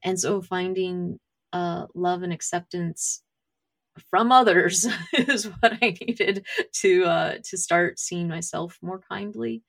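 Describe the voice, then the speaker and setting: Slow, hesitant woman with a gravelly voice. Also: fairly low pitch, no room sound, studio quality. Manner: hesitant, full of ums and soft laughs. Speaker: guest artist. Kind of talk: candid interview chat.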